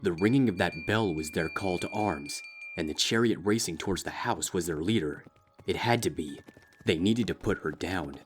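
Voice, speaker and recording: A man reading a dark fanfiction story aloud in a slow, grave, steady narrating style. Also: low voice, faint music behind. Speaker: fanfiction reader.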